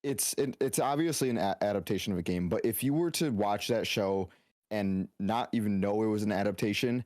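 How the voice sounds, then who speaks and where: A man's voice: lowish voice, fairly quick, a little mellow, no echo, clean studio sound. A man giving his opinions in casual podcast chat as one of the panelists.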